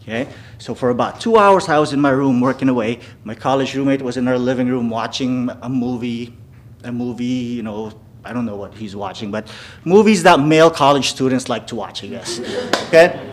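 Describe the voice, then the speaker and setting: A man's voice: medium pitch, a little fast. Man telling personal stories to a crowd. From Philippines. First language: Filipino. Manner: keeps checking in with the crowd with "okay?". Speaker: speaker. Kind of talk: personal storytelling talk to a live audience. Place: venue before a live audience.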